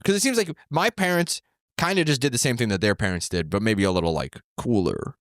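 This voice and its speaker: Man in his thirties, rambling storyteller, very animated pitch, dry close sound. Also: brisk, dry recording. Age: 30s. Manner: rambling, lots of fillers and restarts. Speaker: comedian guest. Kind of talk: casual chat. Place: New York City.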